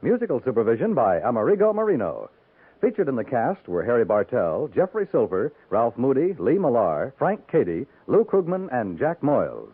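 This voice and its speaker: A man's voice: medium pitch, wildly swooping pitch, normal speed, slightly rough. A man announcing in a formal, emphatic, polished 1950s radio style, reading from a script. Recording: muffled 1950s radio broadcast recording.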